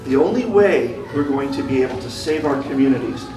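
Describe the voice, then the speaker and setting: A man's voice: mid-range voice, animated, brisk. A man giving an impassioned public speech as a community activist, driving home repeated calls to action. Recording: echoey room, distant mic, music behind.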